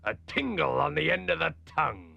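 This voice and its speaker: An actor playing a swaggering one-eyed old pirate captain, gravelly and raspy, with a hearty West Country pirate dialect full of drawn-out arrs. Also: male voice, fairly high pitch, very animated, sharp tone, dry recording, muffled recording, full character voice. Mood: hearty, sly. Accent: stage West Country pirate.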